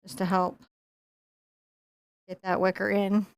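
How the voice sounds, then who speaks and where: A woman's voice: medium pitch, flat delivery, takes it slowly, clear, resonant tone, no room sound. A woman giving a live painting lesson, chatting and laughing while she explains each brushstroke. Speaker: painting instructor.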